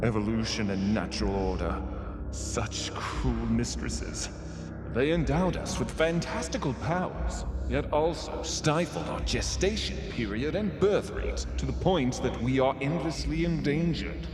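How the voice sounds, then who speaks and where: A man's voice: mid-range voice, a little slow, close to an everyday voice. A man voicing a deferential, wry alien coven advisor in a game, with formal, theatrical phrasing. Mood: wry, doubtful. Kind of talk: scripted dramatic game cutscene dialogue. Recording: dialogue over loud music.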